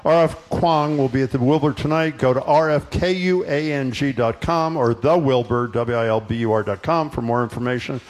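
A man's voice: medium pitch, moderate pace, hardly any pauses. Playful man hosting a book interview. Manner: playful, self-deprecating banter. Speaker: show host.

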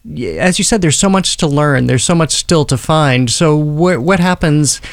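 A man interviewing a guest, asking quick, enthusiastic questions. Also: on the high side, dry recording.

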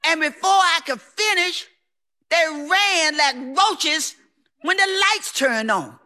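A woman's voice: very high voice, lively intonation, slow pace, bright, forceful tone. A woman telling a personal story in a theatrical, emphatic, folksy way, acting out what people said. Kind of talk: live personal storytelling on stage.

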